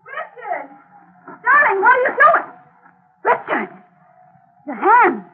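An actress playing a frightened young wife, calling out in shrill panic in a 1940s radio drama. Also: female voice, huge pitch swings, takes it slowly, full character voice. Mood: panicked. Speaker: protagonist's wife. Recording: tinny old radio broadcast.

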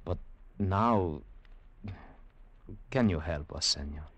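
A formal, deep-voiced archaeologist. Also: male voice, lively intonation, heavily acted. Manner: formal, polite, measured. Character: proud older archaeologist, courteous and formal. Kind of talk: 1950s radio drama acting.

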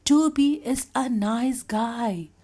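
An elderly giant squid from a storybook, voiced by a woman, slow and high in pitch. He is wise and caring, giving earnest, admonishing counsel. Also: dark tone, husky.

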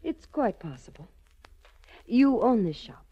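A woman's voice: on the high side, sing-song, a little slow, mellow, husky, full character voice. An actress playing a cool, self-assured female crime boss in a 1940s radio drama, poised, haughty and coolly seductive. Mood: imperious, confident. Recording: muffled 1940s radio broadcast recording.

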